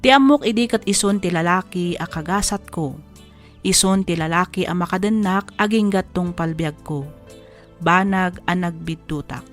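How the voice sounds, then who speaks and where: A woman's voice: lowish voice, moderate pace. A woman giving scripted dramatic narration, reading out a letter as the narrator of a drama. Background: music.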